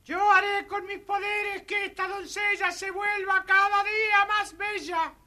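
An actor playing a tiny fairy-tale gnome with magic powers, squeaky and declamatory, in a children's audio story. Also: little pitch movement, a little slow, pushed and bright, full character voice. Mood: solemn, proclaiming.

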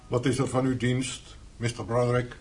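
Man playing a deferential mid-pitched manservant. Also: level tone, moderate pace, music in the background, close to an everyday voice. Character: deferential manservant in a country house. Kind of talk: radio play acting.

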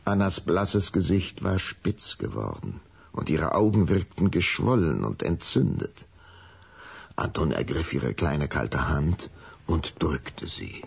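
A man narrating a German children's radio play as a measured, suspenseful storyteller. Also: a bass voice, sing-song, gravelly, slightly rough, full character voice.

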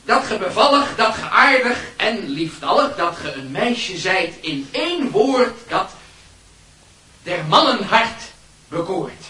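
In a roomy, distant sound, a high-voiced schoolboy declaims mocking verse. His radio-play delivery is theatrical and drawn out, swooping widely from line to line. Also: very animated, slow pace, pushed and bright, heavily acted. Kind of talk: radio drama recitation.

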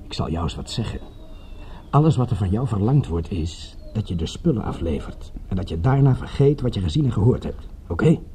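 Radio-play crook, very dark mellow voice, blunt, insinuating, lively intonation, faint noise. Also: male voice, lowish voice, fairly quick. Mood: cool, menacing. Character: shady, coolly menacing male crook. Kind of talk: Dutch radio drama acting.

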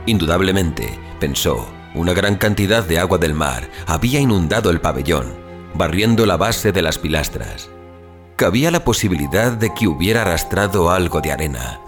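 A man narrating a scripted story in a dramatic audio fiction style. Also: sits low.

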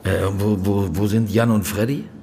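An actor playing a groggy, distracted police chief in a radio crime drama, laconic and hesitant. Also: male voice, lowish voice, fairly even intonation, normal speed, dark tone, slight background noise, natural voice.

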